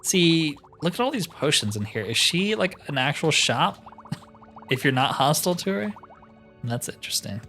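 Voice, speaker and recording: A man's voice: fairly high pitch, big pitch swings, normal speed, bright, forceful tone. A man giving casual let's play commentary, musing and asking himself questions as he goes. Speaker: host of a gaming roleplay series. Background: music behind the voice.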